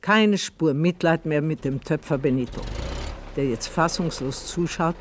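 An actor as a terse, observant storyteller, reading literary radio-play narration in measured, clipped phrases. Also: male voice, fairly high pitch, slight background noise, natural voice. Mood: wry, observant.

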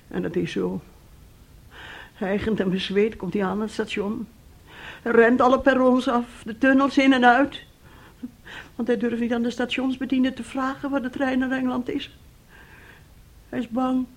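Slow, anguished middle-aged mother. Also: on the high side. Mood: brooding. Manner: slow, brooding, anguished storytelling. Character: despairing mother, an aunt, anguished over her missing son. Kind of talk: Dutch-language radio play acting.

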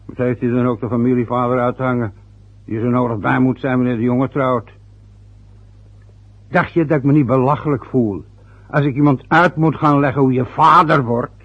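A man's voice: mid-range voice, fairly even intonation, normal speed, slightly rough, natural voice. An actor in a radio play voicing a domineering, sarcastic middle-aged father who mocks and lords it over his family. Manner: mocking, overbearing, sarcastic. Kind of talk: radio drama acting. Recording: dull lo-fi radio recording.

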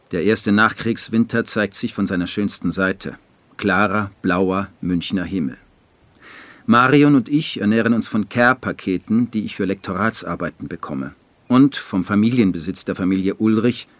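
An actor playing a brooding postwar writer who narrates his life in a measured, dry, literary way for a radio drama. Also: on the low side, no echo, lightly acted. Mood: dry, wry. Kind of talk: scripted radio drama monologue.

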